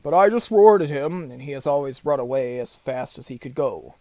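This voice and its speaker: A man's voice: mid-range voice, animated, fairly quick, darkish tone, no room sound, lightly acted. A man voicing a big cowardly lion in a storybook, mournful and self-pitying as he speaks. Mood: sad, confessing. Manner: sorrowful, confessing.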